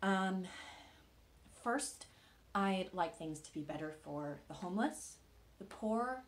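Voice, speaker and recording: An actress playing a hesitant, grieving young woman in a naturalistic monologue, praying awkwardly with earnest, halting, tentative speech. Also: mid-range voice, expressive, a little hiss or hum. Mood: awkward, doubtful. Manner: halting, tentative, with long pauses. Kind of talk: naturalistic contemporary dramatic monologue.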